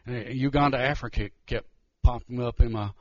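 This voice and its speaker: A man's voice: medium pitch, no room sound, muffled recording. Nervous man giving testimony. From Georgia, US. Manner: nervous, halting, many restarts. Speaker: missionary. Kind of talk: church testimony talk.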